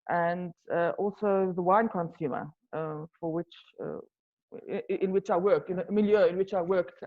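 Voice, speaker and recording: A woman from South Africa whose first language is Afrikaans talks in a lowish voice. She fills her answers with "um" and "uh" and often restarts phrases. The audio is muffled and lo-fi. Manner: hesitant, many fillers and restarts. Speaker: wine tour business owner. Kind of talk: interview answers.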